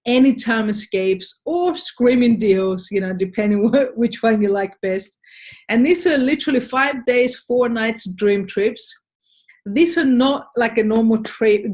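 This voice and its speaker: A woman's voice: medium pitch, a little mellow. An Australian woman giving a sales presentation in a webinar, upbeat and persuasive, speaking to a webcam from a home office.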